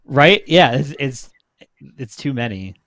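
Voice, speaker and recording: A young man with a medium-pitched voice in a dry, echo-free recording. He chats informally as one of a group of panelists, full of slang and given to chuckling. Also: expressive, slow pace, fairly bright tone. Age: young adult to 30s. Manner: chatty, slangy, giggly. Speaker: panelist on a livestream. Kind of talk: casual chat.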